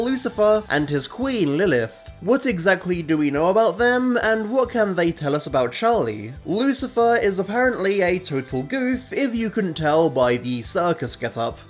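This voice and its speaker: Lively video essayist with animated pitch. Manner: conversational, rhetorical questions. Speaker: video essayist, channel host. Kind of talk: scripted narration, fan theory commentary.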